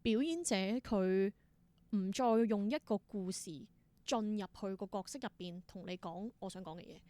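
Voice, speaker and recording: A woman's voice: mid-range voice, moderate pace, dark tone. A woman with a Hong Kong Cantonese accent telling stories casually as an interview guest, thinking aloud in short, reflective bursts. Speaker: interview guest, independent theatre performer.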